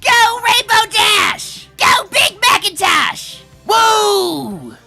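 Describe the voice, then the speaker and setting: A woman's voice: high voice, sing-song, a little slow, music in the background, heavily acted. A woman voicing a brash, loyal, fiery female pony in a comic dub. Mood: excited. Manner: brash, emphatic. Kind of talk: comic dub character acting.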